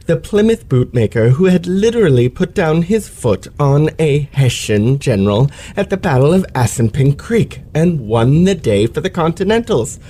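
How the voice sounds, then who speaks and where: A man's voice: on the high side, sing-song, normal speed, few pauses, warm tone. A man playing a pompous, self-pitying male lecturer with florid, precise elocution in an old-fashioned radio play. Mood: proud.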